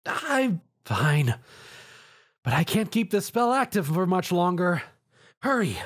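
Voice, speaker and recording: A man's voice: sits high, sing-song, takes it slowly, husky, pitched above the performer's own voice, exaggerated voice. A man voicing an earnest young female pony in a story, eager and a little anxious. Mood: strained, urgent.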